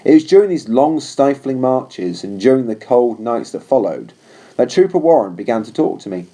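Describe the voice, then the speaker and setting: A man's voice: medium pitch, big pitch swings, moderate pace, mellow. A man reading a scripted story aloud as narrator of an audiobook. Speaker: audiobook reader.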